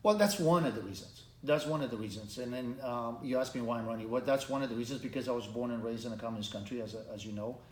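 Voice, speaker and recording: Romanian-born man in an echoey room. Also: mid-range voice. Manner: earnest, halting, many restarts. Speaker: congressional candidate. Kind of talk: interview answers. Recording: echoey room, faint background noise.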